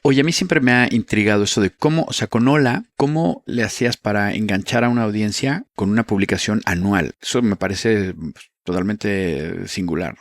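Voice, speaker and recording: A man hosts a podcast, asking curious and playful questions. His voice is very low. The sound is close and dry. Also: moderate pace, no room sound. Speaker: podcast host. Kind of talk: interviewing on a podcast.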